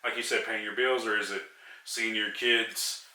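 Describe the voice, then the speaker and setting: A man's voice: on the low side, fairly even intonation, moderate pace, roomy sound, far from the mic, over a noisy crowd, rough recording. A man interviewing a guest casually, repeating affirmations and encouraging him.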